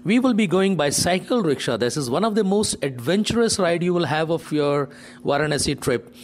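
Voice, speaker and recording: A man's voice: fairly high pitch, lively intonation, a little fast. A man, a tour director explaining the day's plans on location. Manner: explanatory, slightly nonstandard grammar. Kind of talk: on-location conversation.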